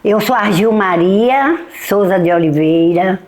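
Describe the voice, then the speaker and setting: A woman's voice: medium pitch, expressive, measured pace, a little mellow. A woman, a retired teacher thoughtfully recalling her life in a documentary interview. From Bahia, Brazil. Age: 70s. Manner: reflective, frequent tag questions and restarts. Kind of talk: documentary testimony, reminiscing.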